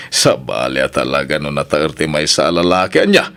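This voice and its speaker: A man's voice: a bass voice, creaky, fairly bright tone, pitched below the performer's own voice, heavily acted. A man voicing a teasing, nosy older male friend in a drama, goading in a drawl with an Ilocano accent. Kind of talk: Ilocano radio-style drama acting.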